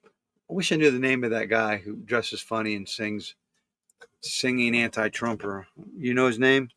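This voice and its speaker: A man's voice: medium pitch, moderate pace, bright, forceful tone, dry recording, clean studio sound. A man chatting casually about politics, blunt and opinionated, speaking matter-of-factly.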